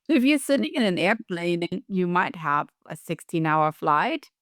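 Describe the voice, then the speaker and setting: A woman's voice: on the low side, very animated, normal speed, fairly bright tone, no echo, clean studio sound. A middle-aged woman with a European accent, a coach answering interview questions in an upbeat, emphatic way and stretching her key words. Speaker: guest, coach and author.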